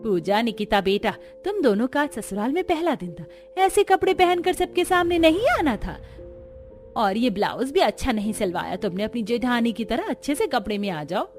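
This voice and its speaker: Woman playing a patient, high-voiced mother-in-law. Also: big pitch swings, normal speed, soft background music. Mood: coaxing. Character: patient, placating middle-aged village mother-in-law.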